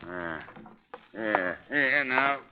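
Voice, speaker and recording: An actor playing a rough, obedient henchman in a 1930s radio crime drama. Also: lowish voice, lively intonation, drawn-out words. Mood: brusque, obedient. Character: gruff, obedient male henchman of crime boss. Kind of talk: 1930s radio gangster acting. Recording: noisy, muffled 1930s radio recording.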